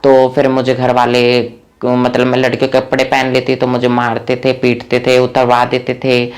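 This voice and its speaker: A woman's voice: rumbling low, monotone, normal speed, darkish tone. A young adult woman from Haryana, telling her life story in a plain, earnest way with many fillers. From Rohtak, Haryana, India. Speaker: interviewee. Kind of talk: personal life story told to camera.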